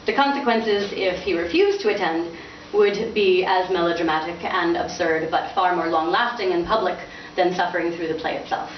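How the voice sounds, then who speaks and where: A woman author giving an expressive, lightly dramatized live reading of fiction. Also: on the low side, moderate pace, few pauses, recorded from a distance. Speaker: author reading from their novel. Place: indoors in a bookstore. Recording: muffled, echoey, noisy room from afar.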